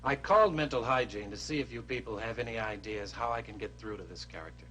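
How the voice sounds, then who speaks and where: A man's voice: fairly low pitch, animated, fast, fairly bright tone, slight background noise, poor audio. An actor playing an army captain who wearily explains that he has run out of ways to handle a soldier. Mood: weary. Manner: weary, exasperated explaining. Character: frustrated male army captain, company commander. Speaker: company commander. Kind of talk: 1960s educational film acting.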